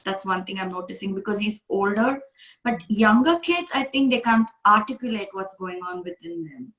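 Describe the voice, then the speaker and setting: A woman's voice: medium pitch, normal speed, fairly bright tone, reverberant, poor audio. A woman from India hosting a casual interview, speaking earnestly and asking questions. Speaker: coach, interview host.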